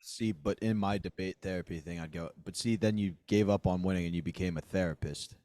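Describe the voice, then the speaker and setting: A man's voice: low-pitched, a little fast, mellow, dry recording, clean studio sound. A man co-hosting a podcast, bantering in a joking, wry way in casual chat. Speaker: podcast co-host.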